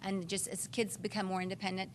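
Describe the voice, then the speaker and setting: A woman's voice: medium pitch, little pitch movement, quick pace, a little hiss or hum. A woman working as a product representative, explaining features in a live TV interview.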